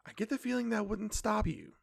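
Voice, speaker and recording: Very gravelly, protective fey sibling. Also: female voice, mid-range voice, fairly even intonation, brisk, dry recording, clean studio sound. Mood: cautioning. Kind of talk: audio drama dialogue.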